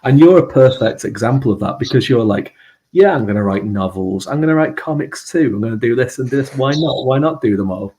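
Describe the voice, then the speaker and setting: A man's voice: mid-range voice, expressive, brisk, warm tone, poor audio. A man with a strong Mancunian accent hosting a casual live-stream chat, talking in a rambling way with many fillers and restarts. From England and Ireland. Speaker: host.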